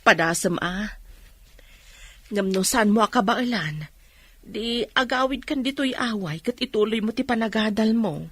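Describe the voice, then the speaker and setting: A woman's voice: on the high side, animated, a little slow, breathy edge, dry recording, full character voice. An actress with an Ilocano accent playing a caring, anxious middle-aged village mother in a radio drama, tender and full of caution. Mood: cautioning, supportive. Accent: Filipino, Ilocano. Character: caring, anxious rural mother of poor family.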